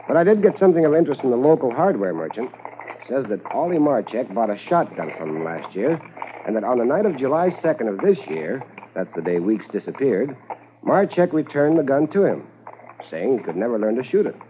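An actor playing a shrewd, confident police corporal with a firm, matter-of-fact manner in a 1950s radio drama. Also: male voice, medium pitch, sing-song, brisk, lightly acted. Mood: brisk, matter-of-fact. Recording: muffled, hissy 1950s radio transcription.

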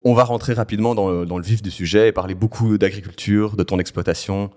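Male podcast host, deep voice, measured questions. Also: fairly even intonation, warm tone. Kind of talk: interview hosting.

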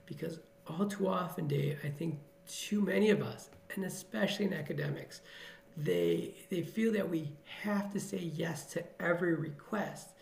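Gravelly-voiced doctor, earnest and motivational. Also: male voice, high-pitched, a little mellow, reverberant. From Chicago area. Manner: earnest, motivational, repeats phrases for emphasis. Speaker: emergency physician guest. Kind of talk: podcast interview answers.

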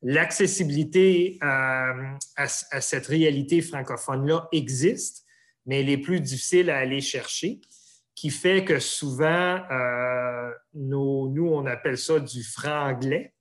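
A man from Canada with a Canadian French accent, answering interview questions in a measured, hesitant way with many pauses. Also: a little high, a bit bright.

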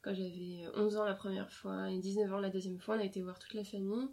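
A young French woman in a relaxed interview, talking over her upbringing. She hesitates often, fills pauses with small sounds and restarts her sentences, in an ordinary mid-range voice. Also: mid-range voice, vocal fry. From France. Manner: hesitant, many fillers and restarts. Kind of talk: casual interview conversation.

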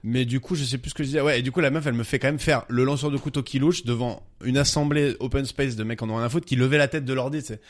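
A man, a podcast guest, telling a funny anecdote in casual chat. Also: medium pitch. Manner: fast, animated anecdote telling with laughs.

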